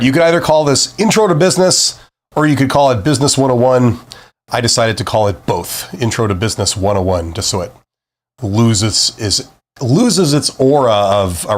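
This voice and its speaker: Male streamer-teacher, mid-pitched, voice a little off from a recent flu, chatty and full of fillers. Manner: relaxed, talks directly to viewers with frequent "uh" fillers. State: recovering from flu, voice a bit off. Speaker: host and business teacher. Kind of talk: casual live-stream lecture.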